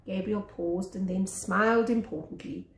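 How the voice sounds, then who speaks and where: A woman reading a children's story aloud as a teacher, with expressive narration. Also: medium pitch, normal speed. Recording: echoey room.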